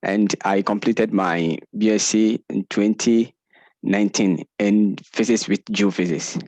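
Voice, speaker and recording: A student from Ghana, a man, introducing himself formally and loudly on a video call. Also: fairly low pitch, fairly even intonation, unhurried.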